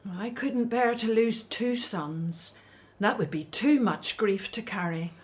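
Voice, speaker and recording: A woman's voice: mid-range voice, slow, close to an everyday voice. A woman playing an anxious, loving elderly mother and grandmother in a radio drama.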